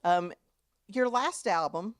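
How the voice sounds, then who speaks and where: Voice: mid-range voice, takes it slowly, no room sound. An interviewer asking questions in a casual, friendly way during a stage interview in a small theater. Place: small theater before a live audience.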